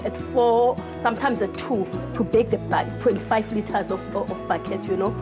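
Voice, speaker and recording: A middle-aged woman from Africa, earnestly telling her story in a casual interview, often halting and restarting. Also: mid-range voice, music in the background. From South Africa. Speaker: local baker and guest.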